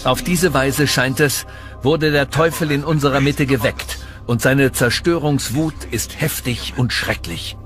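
Actor as a stern, grave Puritan preacher. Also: male voice, mid-range voice, a little slow, over music, natural voice. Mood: grave alarm. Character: stern Puritan village pastor, male, fervent and severe. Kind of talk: dramatized historical documentary voice-over in German.

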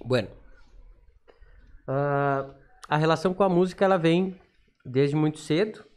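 Southern brazilian gaucho guy, 30s, slightly high voice, telling his story on a podcast mic. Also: measured pace, a little mellow, clear, resonant tone. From Rio Grande do Sul, southern Brazil. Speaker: podcast guest, self-taught accordion player and teacher. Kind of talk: casual storytelling in an interview. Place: small studio, indoors. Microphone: podcast microphone on boom arm, talking through cloth mask.

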